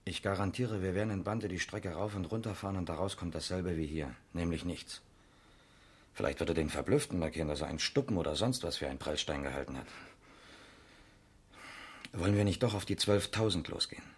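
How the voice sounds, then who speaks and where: A sceptical police detective in a radio play. His voice is very low and husky, his manner colloquial, cynical and exasperated. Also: a little fast. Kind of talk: 1970s German radio crime drama acting.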